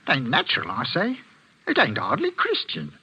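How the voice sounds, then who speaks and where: Husky, blustering English village greengrocer. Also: male voice, a little high, wildly swooping pitch, normal speed, pushed and bright, exaggerated voice. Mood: indignant. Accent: English, rustic working-class. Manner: deferential, nervous, stammering. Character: superstitious, blustering village greengrocer, a male church helper. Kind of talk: 1940s radio mystery acting. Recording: dull, lo-fi vintage radio recording.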